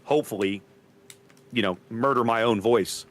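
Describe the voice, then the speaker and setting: A man from Texas with a very loud voice, co-casting a game tournament stream in casual chat. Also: mid-range voice, normal speed, slight background noise. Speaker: co-caster.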